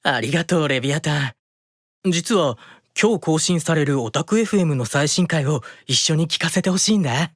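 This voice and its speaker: Gentle, polite angel, dry recording. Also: male voice, on the high side, lively intonation, moderate pace, dry recording. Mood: gentle, unbothered. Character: Simeon, gentle polite male angel, blithely clumsy with technology. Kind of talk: anime-style audio drama acting.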